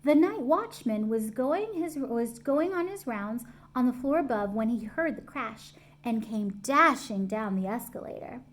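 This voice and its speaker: A middle-aged woman reads a children's story aloud indoors, close to a phone or camera. Her high voice moves slowly and expressively, breaking off to explain things to small listeners. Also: animated. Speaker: storytime reader. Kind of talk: reading a picture book aloud to young children, with asides. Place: small room at home, indoors.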